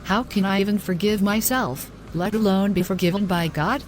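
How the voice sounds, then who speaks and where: A woman's voice: medium pitch, moderate pace, a little hiss or hum, close to an everyday voice. A woman playing a distraught, self-reproaching young woman in a scripted moral-story drama. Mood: self-loathing, anguished.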